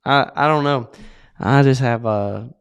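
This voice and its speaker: A man's voice: mid-range voice, unhurried, gravelly, clean tone, highs cut off. A young man with a North Carolina country accent, co-hosting a casual podcast and chatting in an easygoing, agreeable way. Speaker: co-host.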